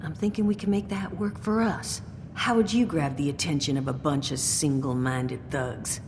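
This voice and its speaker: An actress playing a sly, scheming survivor who talks in a casual, smooth way. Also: female voice, sits low, steady tone, moderate pace, lightly acted. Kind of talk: video game dialogue. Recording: long reverb with clear noise.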